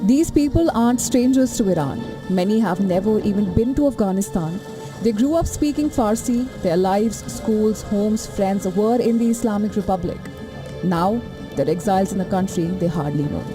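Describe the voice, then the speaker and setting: A woman reporter narrates a news package with dramatic, emphatic phrasing. Her voice sits over a clear music bed. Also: medium pitch, lively intonation, moderate pace.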